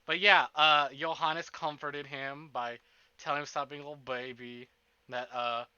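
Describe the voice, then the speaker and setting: A man's voice: fairly high pitch, steady tone, moderate pace, bright, forceful tone, clean tone, dry recording, muffled recording. A man hosting a livestream in casual, rambling, informal chat with some mild swearing, speaking close to the microphone. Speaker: livestream host.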